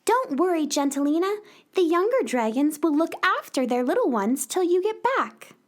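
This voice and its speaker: A woman's voice: sits high, very animated, normal speed. A woman playing a sweet, eager, childlike space adventurer in a children's radio play, earnest and polite. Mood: reassuring.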